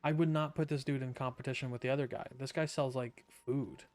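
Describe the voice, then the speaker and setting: A man's voice: medium pitch, fairly even intonation, a little fast, darkish tone. A man streaming a video game live, making joking, sarcastic asides. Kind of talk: casual live game commentary. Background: faint game music.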